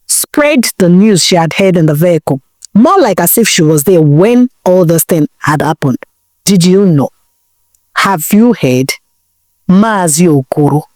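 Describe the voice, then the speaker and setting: A woman telling a village folktale in a dramatic, expressive way, now and then asking the listener questions. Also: on the low side, no room sound, studio quality. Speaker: storyteller. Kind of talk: folktale storytelling narration.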